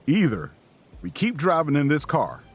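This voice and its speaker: An actor playing a calm, protective traveler in an audio drama, with a folksy, measured, reassuring manner. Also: male voice, fairly high pitch, husky, full character voice. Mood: firm, steadying. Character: calm, protective male traveler steadying his companions. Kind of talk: naturalistic audio drama acting.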